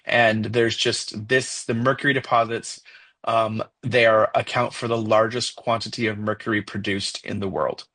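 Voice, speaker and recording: A young man with a Mexican accent, co-hosting a casual podcast discussion, talking in a halting way with many fillers and restarts as he thinks aloud. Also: lowish voice, level tone, bright, forceful tone. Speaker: podcast co-host.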